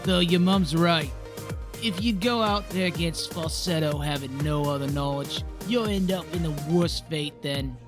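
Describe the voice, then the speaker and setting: Man as higher-voiced, cautioning cartoon friend. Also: measured pace, over music, natural voice. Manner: earnest, cautioning. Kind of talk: cartoon fan drama acting.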